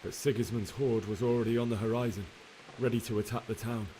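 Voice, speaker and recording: An actor playing a young blacksmith's son in a video game, earnestly and sorrowfully recounting a massacre. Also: male voice, medium pitch, steady tone, quick pace, dark tone, slight background noise, lightly acted, low-quality recording. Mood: bitter. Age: young adult. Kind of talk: dramatic game cutscene acting.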